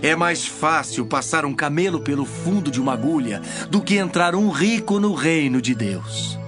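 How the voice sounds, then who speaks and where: An actor with a Brazilian accent playing a solemn, authoritative male teacher in a measured, declamatory scripture drama. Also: on the high side, animated, lightly acted. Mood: earnest. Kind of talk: dramatized scripture reading in formal Portuguese. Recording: music bed behind the voice.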